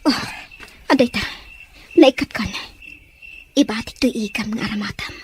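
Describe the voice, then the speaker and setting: A woman's voice: on the high side, moderate pace, darkish tone, slight background noise, close to an everyday voice. A woman with a Filipino accent playing a secretive, dutiful daughter in a radio drama. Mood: urgent, hushed.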